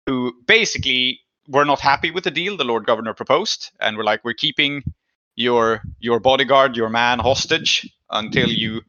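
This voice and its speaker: Male game master, very bright sharp voice, halting conversational recap, slightly dull recording. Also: mid-range voice.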